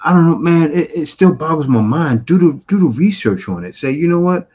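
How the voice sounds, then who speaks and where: A man's voice: fairly high pitch, fairly quick, slightly rough, dull audio. A man in his forties hosting a reaction show, talking bluntly and animatedly into a close studio mic in a small room. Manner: animated, blunt, talks back at clips. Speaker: host reacting to clips. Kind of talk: casual reaction commentary. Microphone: studio mic close to mouth.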